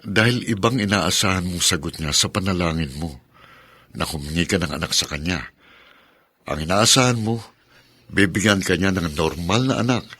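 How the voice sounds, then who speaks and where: A man's voice: low voice, moderate pace, long pauses, bright, forceful tone, slightly rough, no echo, exaggerated voice. An actor playing a supportive, affectionate husband and father in a Filipino radio drama, earnest and encouraging. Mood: reassuring, earnest.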